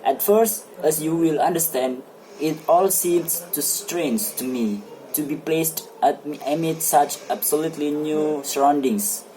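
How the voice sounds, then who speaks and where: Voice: mid-range voice, unhurried, warm tone, slight background noise, lightly acted, poor audio. An amateur reader playing a talkative, self-satisfied married man in a stage play, with eager, formal phrasing. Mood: earnest, explaining. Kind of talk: amateur dramatic play reading.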